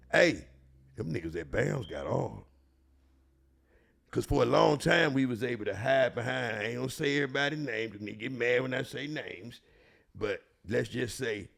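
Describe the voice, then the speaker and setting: A man with a heavy gravel and fry in his voice speaks in a Houston, Texas accent. He tells stories casually, in slangy, profane, emphatic street talk that circles back on phrases. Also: mid-range voice. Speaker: storyteller and show regular.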